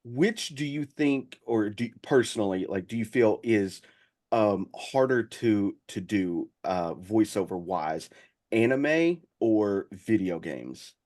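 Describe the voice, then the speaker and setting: A man's voice: lowish voice, animated, slow, darkish tone. A man hosting a casual interview, feeling his way through questions with hesitant, stammering phrasing. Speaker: podcast interviewer.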